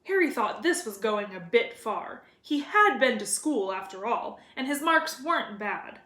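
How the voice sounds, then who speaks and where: A woman's voice: a little high, big pitch swings, unhurried, sharp tone. A woman narrating a children's story as a read-aloud. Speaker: reader of a children's novel. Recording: roomy echo.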